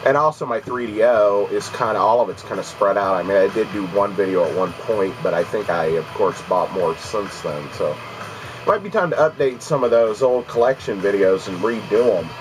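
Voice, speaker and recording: A man's voice: fairly low pitch, normal speed, soft background music, rough recording. A man with a congested voice, rambling casually with lots of ums as he vlogs about his video game pickups at home. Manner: rambling, many ums and restarts. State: congested from allergies. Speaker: video game collecting vlogger.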